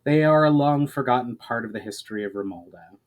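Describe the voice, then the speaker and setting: A man's voice: mid-range voice, brisk, close to an everyday voice. A man voicing a grave, thoughtful male elf who speaks solemnly and formally in a fantasy story. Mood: grim, solemn.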